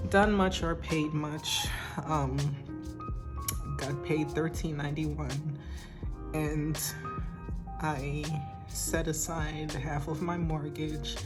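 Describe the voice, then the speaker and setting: Woman, weak shaky voice, low pitch, slow hesitant casual chat, music behind. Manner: slow and soft-spoken, with hesitant ums and light laughs. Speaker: budgeting vlogger.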